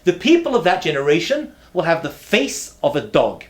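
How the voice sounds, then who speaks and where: A man in his thirties, a rabbi who is not from America, giving a lively lecture to camera with punchy repetition and humorous asides. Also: fairly high pitch, moderate pace. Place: indoor study with bookshelves.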